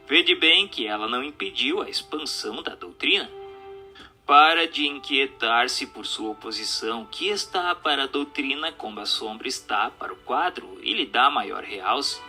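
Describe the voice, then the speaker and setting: Um ator brasileiro interpretando uma voz solene e instrutiva numa radionovela espírita, em tom formal e declamatório. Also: male voice, mid-range voice, bright, forceful tone. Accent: Brazilian Portuguese. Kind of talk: scripted radio drama reading of a spiritist question-and-answer dialogue.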